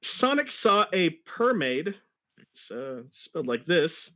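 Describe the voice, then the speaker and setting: A man's voice: a little high, big pitch swings, takes it slowly, pushed and bright. A man reading a story aloud in an amused, mock-dramatic style, with casual asides. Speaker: reader of a fan story.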